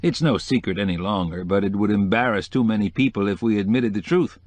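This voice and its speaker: A man's voice: on the low side, a little fast, a little mellow, no echo, close to an everyday voice. A man voicing a witty, flamboyant decorator in an audiobook, sprinkling French phrases into hip slang. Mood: amused, confiding. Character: flamboyant, witty male interior decorator, sly and gushing. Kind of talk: acted audiobook dialogue.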